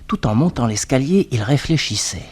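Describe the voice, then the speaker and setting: A man narrating a detective radio serial in a measured, scripted storytelling style. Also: mid-range voice, animated, darkish tone, slightly rough. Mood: scene-setting.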